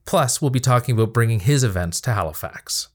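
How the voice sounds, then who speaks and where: A man's voice: mid-range voice, expressive, fairly quick. A man hosting an interview podcast, introducing his guest and asking questions in an enthusiastic, polished way. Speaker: podcast host.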